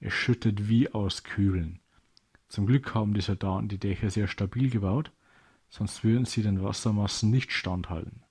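A man's voice: lowish voice, moderate pace, a little mellow, a little hiss or hum. A man narrating a scripted children's audio story as a storyteller.

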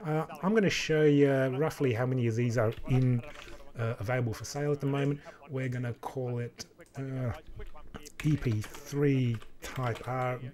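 A man's voice: mid-range voice, moderate pace. A man in his thirties with an Australian accent, casually talking through car auction listings on a home livestream in a small room. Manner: relaxed, chatty, rambling with many fillers. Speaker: car enthusiast streamer.

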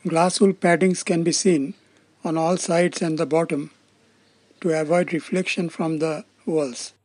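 A man's voice: fairly high pitch, fairly even intonation, measured pace, darkish tone, faint music behind. A man giving scripted, step-by-step narration as the presenter of a technical demonstration. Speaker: presenter of a loudspeaker testing demonstration.

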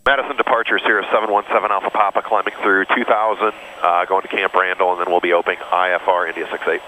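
A man's voice: low-pitched, flat delivery, fast, pushed and bright, dull audio. A man speaking as a pilot in clipped aviation radio calls over a cockpit intercom. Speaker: private pilot. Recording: noisy aircraft cockpit radio audio. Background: airplane engine drone.

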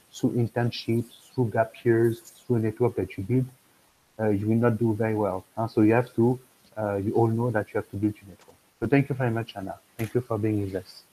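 A man's voice: lowish voice, little pitch movement, normal speed, dark tone, slight background noise, poor audio. A man in his fifties with a French accent, talking on a video call as an admissions consultant, rambling with many fillers and restarts. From France. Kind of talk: video call webinar talk. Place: room at home.

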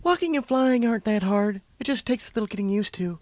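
A timid young pegasus mare, played by a man in a fanfiction audiobook. The voice is high, soft and lilting, with a hesitant, reassuring manner. It is recorded close and dry. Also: animated, fast, no echo. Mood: encouraging. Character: timid, sweet young female pegasus pony, gently encouraging.

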